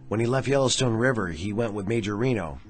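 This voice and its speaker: A man's voice: lowish voice, fast, lightly acted. A man acting in a radio drama as a serious, uneasy army sergeant, earnest and clipped. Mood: uneasy, insistent. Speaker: actor. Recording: radio drama with music underneath.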